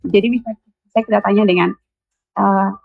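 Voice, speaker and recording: A woman with a Kupang accent speaks slowly as a radio guest, explaining her mentoring work with many pauses and hesitations. The sound is dull and lo-fi and dry, from a radio studio. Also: medium pitch, fairly even intonation, gravelly, slightly rough, dry recording. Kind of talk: radio talk show guest.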